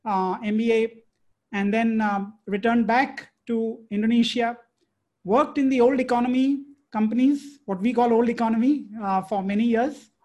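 A man's voice: very high-pitched, fairly even intonation, moderate pace, a little mellow. A man hosting a podcast, introducing a guest and asking questions in a friendly, filler-laden way. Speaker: podcast host.

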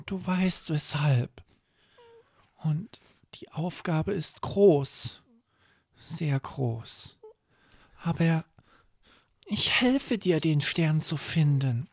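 Bedtime-story rabbit played by a man: raised pitch, playful, teasing, protective of his little friend. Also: expressive, extremely slow, pauses often, dry recording, clean studio sound, heavily acted. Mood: tender, consoling. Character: teasing but caring toy rabbit, fatherly boastful companion.

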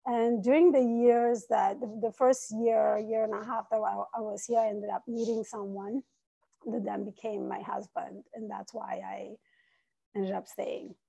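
A woman in her forties, raised in Iran and Italy, sharing her personal story as a podcast guest in an earnest, reflective, soft-spoken way. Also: mid-range voice, steady tone, unhurried, creaky, husky, highs cut off.

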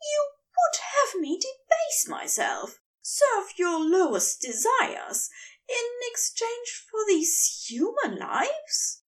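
Woman voicing a high-pitched, prim, affronted male immortal. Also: wildly swooping pitch, slow, far from the mic, heavily acted. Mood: disgusted, indignant. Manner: polite, formal, theatrically affronted. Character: prim male immortal in human form, indignant, resolute.

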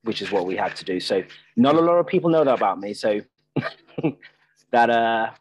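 A man's voice: on the low side, lively intonation, normal speed, slight background noise, rough recording. A man guest in a casual property podcast interview, talking with frequent fillers and restarts. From Nigeria, Ireland and the UK. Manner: hesitant, many restarts and fillers.

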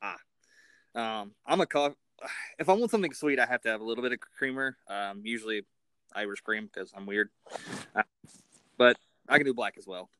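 A man's voice: mid-range voice, normal speed, pauses often, pushed and bright, smooth, no room sound. A man hosting a casual interview, informal and a little self-deprecating in the way he talks. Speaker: interview host.

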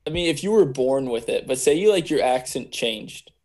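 A man's voice: medium pitch, animated, normal speed, darkish tone. A man co-hosting a casual podcast, easygoing and thoughtful, with fillers as he thinks. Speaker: podcast co-host. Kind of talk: casual chat.

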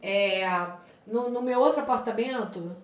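A woman's voice: on the high side, unhurried, echoey room, slight background noise. A Brazilian woman in her forties, a podcast guest chatting casually and acting out the dialogue in her stories. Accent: Brazilian Portuguese. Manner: chatty storyteller, acts out quoted dialogue. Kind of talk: casual chat.

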